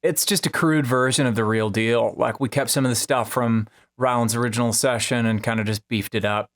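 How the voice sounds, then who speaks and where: A man who is a musician, casually and haltingly telling how a song was made, in a rambling conversational way. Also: mid-range voice, fairly even intonation. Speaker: musician, singer-songwriter. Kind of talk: casual storytelling about making a song. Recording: music playing behind the voice.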